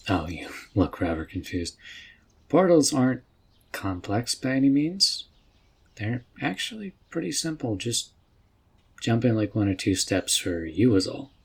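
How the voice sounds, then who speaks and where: Man playing a husky, easygoing wizard mentor. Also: medium pitch, exaggerated voice. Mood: casual, amused. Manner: folksy, relaxed, reassuring. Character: easygoing, friendly male fantasy mage mentor. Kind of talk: roleplay ASMR audio drama.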